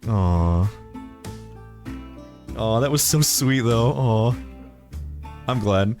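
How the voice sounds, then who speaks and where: Low-voiced man streaming a story game. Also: animated, very slow, a little mellow, faint music behind. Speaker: streamer reading a visual novel aloud. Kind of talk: narration and casual livestream chat.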